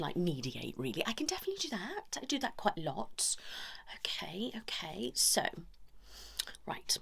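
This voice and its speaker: A woman doing an ASMR therapist roleplay, shifting between a breathy whisper and a soft murmur, chatty and confiding. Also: medium pitch, slight background noise. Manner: chatty, confiding, addressing listeners directly with frequent tag questions. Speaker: ASMR performer role-playing a couples therapist.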